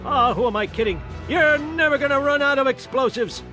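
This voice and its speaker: High-pitched blustery old army sergeant. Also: male voice, a little fast, bright, forceful tone, soft background music. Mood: blustering, gruff. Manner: barking, folksy, blustery. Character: gruff, blustering elderly army sergeant, crude and commanding. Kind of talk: cartoon video game military briefing.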